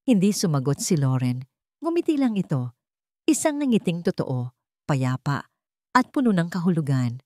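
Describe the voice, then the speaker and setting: A woman's voice: fairly low pitch, sing-song, moderate pace, pauses often, a little mellow, dry recording, clean studio sound. A woman with a Filipino accent narrating a scripted dramatic story as an audiobook.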